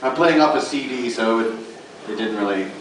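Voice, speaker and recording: A man's voice: mid-range voice, brisk. A man hosting a live panel, chatting casually and joking in an informal way with the audience and guests. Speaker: host of a live radio show panel. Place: large convention room with audience. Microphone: far camera in the audience. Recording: echoey hall, distant camera, noisy crowd.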